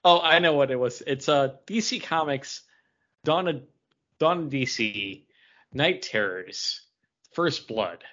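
A man's voice: mid-range voice, animated, slow, pushed and bright, highs cut off. A man co-hosting a podcast, chatting casually about comic books in a joking, self-deprecating way. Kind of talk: casual comic book review chat.

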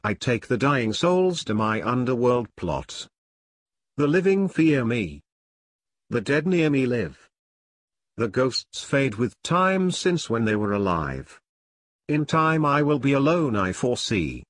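A man's voice: mid-range voice, big pitch swings, takes it slowly, no room sound, voice pushed up. A man voicing the Reaper, a sad immortal lord of the dead, declaiming mournfully in a dramatic podcast story. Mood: brooding, mournful.

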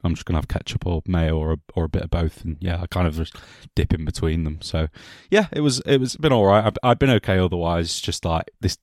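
Deep guy rambling on a footy podcast, bit coughy, dry mic. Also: fast talker, few pauses, darkish tone, no echo. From England. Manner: chatty, rambling, jokey. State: has a cough. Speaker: podcast host. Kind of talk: casual football podcast chat.

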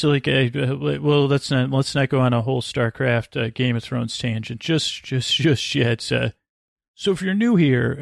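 A man hosting a sleep podcast in a rambling monologue, muttering and halting as he wanders off into tangents. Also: mid-range voice, creaky, dry recording, studio quality. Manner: meandering, halting, frequently trailing off into tangents. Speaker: podcast host.

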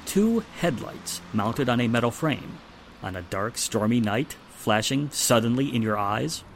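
A man plays a police inspector in an old-time radio drama. He explains things in a measured, reassuring way at a middling pitch. A faint rumble sits behind the voice. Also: lively intonation, gravelly. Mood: matter-of-fact.

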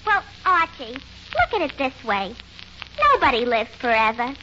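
Shrill, brassy New York gossip. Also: female voice, sing-song, unhurried. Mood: tactless, insistent. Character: blunt, gossipy, tactless young tavern woman. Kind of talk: 1940s radio comedy acting. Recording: muffled, noisy 1940s radio recording.

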